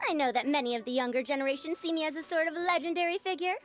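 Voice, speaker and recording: A woman's voice: sits high, steady tone, rapid-fire, a little hiss or hum, close to an everyday voice. A woman voicing a proud, indignant old female scholar in a video game, theatrical and grumbling, with music behind her. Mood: boastful, put out. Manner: theatrical, self-important, grumbling. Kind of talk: video game character monologue.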